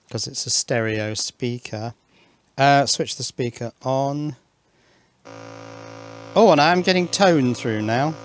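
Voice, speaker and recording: Man musing aloud at a workbench. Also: mid-range voice. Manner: musing, self-questioning, muttering asides. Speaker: electronics hobbyist video maker. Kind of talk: casual thinking-aloud workbench commentary. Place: indoors at a workbench.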